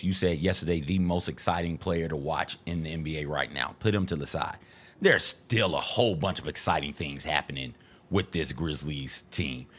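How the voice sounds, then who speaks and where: A man's voice: sits low, fairly bright tone. An American man co-hosting a casual sports talk show in a studio, speaking emphatically and repeating phrases for emphasis. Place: radio-style studio.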